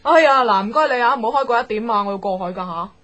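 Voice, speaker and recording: High, lively gossipy office woman. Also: fast talker. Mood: hurried, bossy. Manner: animated, blunt, chatty. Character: gossipy, bossy, exasperated female office colleague. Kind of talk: Cantonese radio drama acting.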